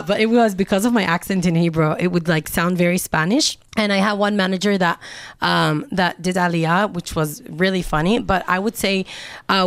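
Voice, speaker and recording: A woman in her twenties with a fairly low voice, recorded close and dry. She co-hosts a casual chat and talks in a relaxed, thoughtful way, full of "um" and "like". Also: no room sound. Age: 20s. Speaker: podcast co-host.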